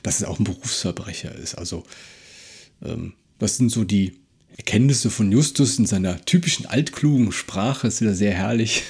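A man's voice: fairly low pitch, lively intonation, normal speed, a little mellow, breathy edge. A man co-hosting a casual discussion, retelling and explaining a story in a conversational way. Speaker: podcast co-host.

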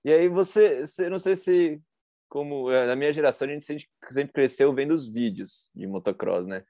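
A man's voice: a little high, normal speed, warm tone, dry recording, studio quality. A Brazilian man hosting a podcast, chatty and slangy, asking his guest questions with enthusiasm. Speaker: podcast host. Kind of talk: casual interview chat.

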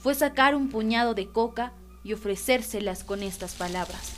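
A woman's voice: a little high, normal speed, fairly bright tone, soft background music. A woman as narrator of a radio drama, reading scripted story narration.

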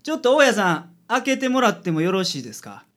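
A man's voice: sits high, sing-song, fast talker, a bit bright. A man playing a confident, shrewd private detective in a radio drama, theatrical, with a Kansai accent. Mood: deducing. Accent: Kansai Japanese.

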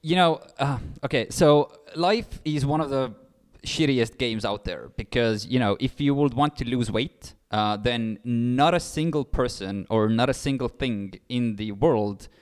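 A man's voice: mid-range voice, unhurried, hardly any pauses, clear, resonant tone. A young man from Estonia, founder of a startup and speaking as an English speaker, explaining ideas eagerly with many fillers and restarts. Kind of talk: interview guest.

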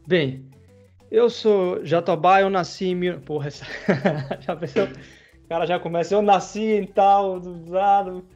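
A man talks in a relaxed, rambling way, full of slang, the way a podcast host chats. His voice sits a little high. The recording sounds dull and lo-fi. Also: measured pace, soft background music. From Bahia, Brazil. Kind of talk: casual chat.

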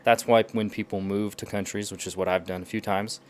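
A young man appearing as a podcast guest, explaining in an animated way and acting out little quotes as he goes. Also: lowish voice, a little fast. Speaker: podcast guest, language learner. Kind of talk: casual podcast chat.

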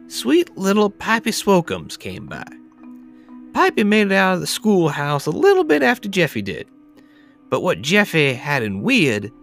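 A man playing a folksy, rustic yarn-spinner who tells a dark tale in a drawling, colloquial, theatrical way. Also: on the high side, full character voice. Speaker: podcast host reading a tale. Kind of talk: theatrical dramatic storytelling.

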